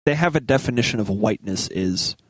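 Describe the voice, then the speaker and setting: A man co-hosting a talk show, reading articles aloud haltingly between bits of casual banter. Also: fairly low pitch, lively intonation, no echo. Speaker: co-host reading the news.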